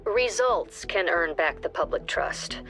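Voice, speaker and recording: Composed agency director, music behind. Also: female voice, fairly low pitch, animated, a bit bright. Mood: businesslike. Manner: formal, measured. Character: stern, composed female director of a security agency. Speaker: squad director giving mission briefings. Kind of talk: scripted game briefing.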